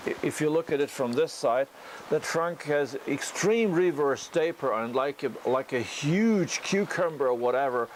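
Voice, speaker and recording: A man who speaks as a gives an unscripted critique as a teacher, thinking aloud haltingly with a whimsical storytelling streak. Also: fairly high pitch. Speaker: bonsai teacher giving tree critiques. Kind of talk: unscripted spoken critique and demonstration. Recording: noisy ambient location recording.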